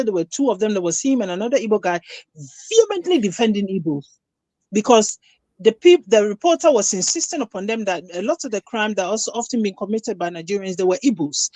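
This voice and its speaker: A woman's voice: medium pitch, darkish tone, no room sound. A Ghanaian woman speaks with emphasis and indignation. Her voice sits mid-range. The sound is dry and close, with no room around it. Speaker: panelist. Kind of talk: casual discussion.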